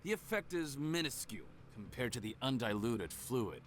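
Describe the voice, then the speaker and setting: An actor voicing a cunning, menacing crime boss in a video game, with a taunting, theatrical delivery. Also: male voice, medium pitch, very animated, moderate pace, a little hiss or hum, natural voice. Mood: guarded, scornful.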